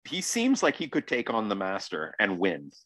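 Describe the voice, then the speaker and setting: Podcast co-host, lively swooping pitch, emphatic, casual TV commentary, dry close recording. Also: normal speed, dry recording.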